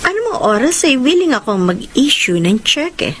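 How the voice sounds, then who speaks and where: A woman's voice: high-pitched, sing-song, moderate pace, fairly bright tone, a little hiss or hum. An actress playing a possessive, scheming rich woman in a Filipino radio drama, with a teasing, insinuating delivery. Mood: seductive, possessive.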